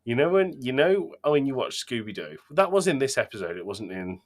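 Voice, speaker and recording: A man's voice: mid-range voice, big pitch swings, fairly quick. A man co-hosting a podcast, chatting casually in an emphatic, opinionated way. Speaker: podcast co-host. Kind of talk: casual chat.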